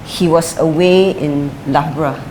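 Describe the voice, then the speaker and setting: Interview subject, middle-aged woman, lowish voice, measured and reflective, faint hiss behind. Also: steady tone, dark tone, low-quality recording. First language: English is her first language; Chinese is her second. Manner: thoughtful, with frequent fillers and restarts. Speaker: interviewee, former sprinter and coach. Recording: light background noise.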